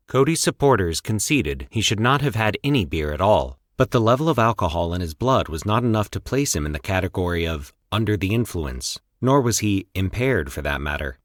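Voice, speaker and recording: A man with a low voice reads scripted horror fiction as a podcast narrator. His delivery is measured and theatrical, with lively rises and falls, recorded dry with no room sound. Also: dry recording.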